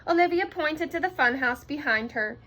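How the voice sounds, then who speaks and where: A woman reading a children's story aloud in an expressive narrating style. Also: high-pitched, moderate pace. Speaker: storybook reader.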